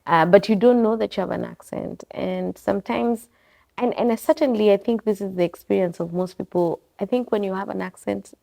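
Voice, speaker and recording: A woman's voice: mid-range voice, steady tone, brisk, gravelly, dark tone. A woman, a bookstore owner being interviewed in her shop, talking in a reflective, rambling way that wanders and corrects itself. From Kenya. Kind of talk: casual interview conversation. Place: her bookstore and coffee bar.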